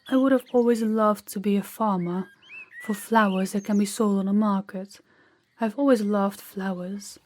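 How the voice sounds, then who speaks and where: Birds chirp faintly behind a woman acting as a young princess in a romantic audio roleplay. She is gentle and frank, talking at a middle pitch in an intimate, confiding way, with thoughtful pauses. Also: a little hiss or hum, lightly acted. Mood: curious, friendly. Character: young princess in an arranged marriage, candid and gentle. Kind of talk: roleplay audio drama spoken to the listener.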